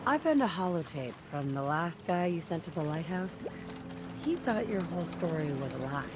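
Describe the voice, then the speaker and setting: An actress playing a calm, direct outsider heroine in a video game, speaking plainly. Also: female voice, fairly low pitch, normal speed, music in the background. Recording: loud background ambience noise.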